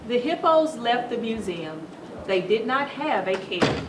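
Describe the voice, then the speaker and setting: A woman reading a children's story aloud to schoolchildren in a teacherly, animated way, stopping to put questions to them. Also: on the high side, a little slow. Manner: teacherly, asks the listeners questions. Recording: roomy echo, distant mic, noisy background.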